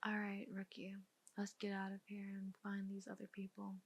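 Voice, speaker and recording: A woman performing a soft-spoken ASMR roleplay as a detective, with measured, deliberate questioning. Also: mid-range voice, completely flat delivery, gravelly, noisy background.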